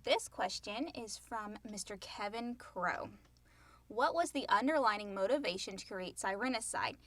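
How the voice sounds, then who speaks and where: A woman's voice: medium pitch, lively intonation, moderate pace, a bit bright, no echo. A middle-aged woman chatting casually as a podcast co-host. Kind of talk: casual Q&A chat. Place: home podcast studio.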